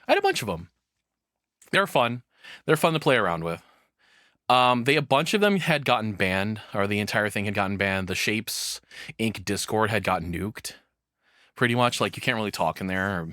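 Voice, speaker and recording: A man's voice: lowish voice, lively intonation, moderate pace, bright, forceful tone, no echo, studio quality. A man doing casual live reaction commentary in a rambling, frank, crudely humorous way. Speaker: reaction streamer.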